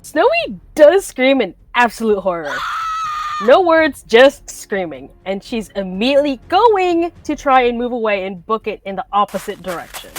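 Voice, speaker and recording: A woman's voice: a little high, very animated, moderate pace, background noise. A woman playing a tabletop game, describing what her character does. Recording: faint music bed under voice. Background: faint music.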